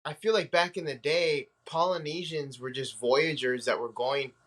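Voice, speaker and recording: A man's voice: a little high, steady tone, normal speed, a bit bright. Young guy from hawaii, chill slangy talk, like and bro everywhere. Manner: laid-back, slangy, many fillers. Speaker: podcast guest. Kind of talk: casual chat.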